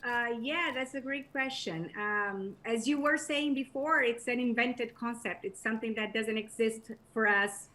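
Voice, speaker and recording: A woman's voice: a little high, unhurried, hardly any pauses, bright, forceful tone. Thoughtful Brazilian-accented woman. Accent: Brazilian Portuguese. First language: Portuguese. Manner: thoughtful, with frequent restarts and fillers. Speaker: guest, professor and journalist. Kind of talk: conversational interview answer.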